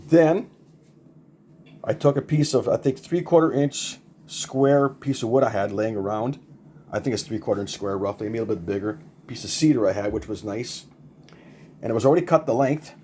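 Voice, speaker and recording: A man's voice: mid-range voice, warm tone, slight background noise. An older man giving a casual, unscripted hands-on demonstration in a rambling, repetitive, plain-spoken way, like a hobbyist showing off a homemade project. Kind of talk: casual unscripted show-and-tell.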